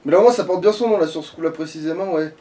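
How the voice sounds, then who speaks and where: Gamer guy, higher-pitched, swearing freely. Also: very fast, dark tone. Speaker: gamer playing in a let's play. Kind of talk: casual gameplay chat. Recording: music playing behind the voice.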